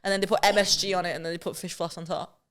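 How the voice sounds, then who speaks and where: A woman's voice: on the low side, steady tone, racing, a bit bright. A woman guest on a podcast, whose first language is Cantonese, chatting casually and teasing the hosts. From England. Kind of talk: casual chat.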